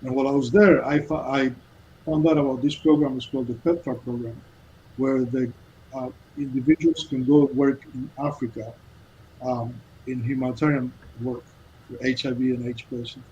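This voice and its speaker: A man from Cuba in his fifties, a guest telling his life story in an earnest, halting way with many restarts. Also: mid-range voice, little pitch movement, warm tone, highs cut off, a little hiss or hum. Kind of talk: casual storytelling interview.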